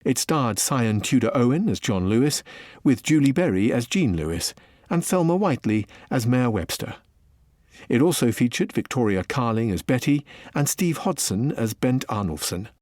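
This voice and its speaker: A man's voice: mid-range voice, sing-song, moderate pace, a little mellow, no echo, studio quality. A man reading scripted credits as a radio announcer.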